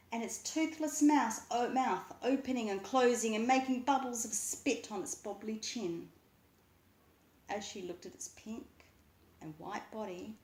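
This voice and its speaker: Slow woman storyteller in an echoey room. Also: on the high side, darkish tone. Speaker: story reader. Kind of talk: expressive children's book narration. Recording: roomy, echoing voice.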